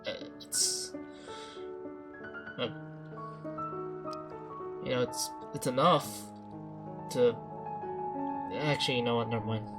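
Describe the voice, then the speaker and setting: A man's voice: medium pitch, fairly even intonation, takes it slowly, pauses often. A young man giving casual, slangy, rambling commentary as he plays a game, often trailing off mid-thought. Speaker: streamer playing a story game. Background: game music.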